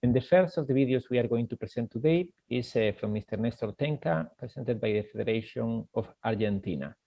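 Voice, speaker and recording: A man chairing a formal online meeting, speaking in a measured way with frequent "uh" pauses. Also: mid-range voice, animated, gravelly, mellow, lo-fi sound. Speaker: meeting chair, secretary general.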